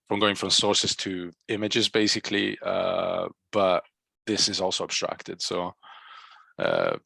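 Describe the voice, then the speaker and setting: Deep, creaky-voiced man giving updates. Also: bright, forceful tone, no echo. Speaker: software working group member giving a project update. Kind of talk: informal technical status update in a meeting. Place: online meeting call, dry close sound.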